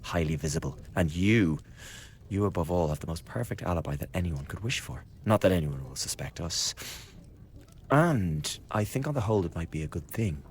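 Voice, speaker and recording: An actor playing a glib, scheming young revolutionary in a radio drama, chatty and insinuating with a blackly flippant manner. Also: male voice, low voice, expressive, normal speed, slight background noise. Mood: conspiratorial, coaxing. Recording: studio radio drama with background ambience.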